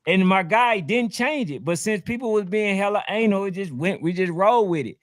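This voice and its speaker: A man's voice: sits high, expressive, brisk. A man hosting a sports talk show, explaining himself in casual slang and repeating his points. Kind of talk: casual, heated sports chat.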